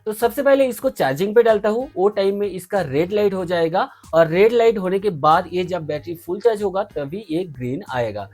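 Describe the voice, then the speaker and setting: A man's voice: high-pitched, expressive, normal speed, hardly any pauses, darkish tone. A man hosting a tech review, explaining a product step by step in an instructional, friendly-address style with repeated stock phrases. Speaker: tech review channel host. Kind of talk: step-by-step product demonstration to camera. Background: faint background music.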